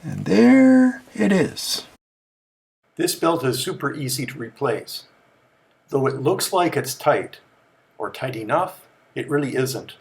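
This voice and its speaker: Calm man narrating a repair, gravelly. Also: fairly high pitch, slow. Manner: calm, step-by-step instructive. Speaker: repair video host.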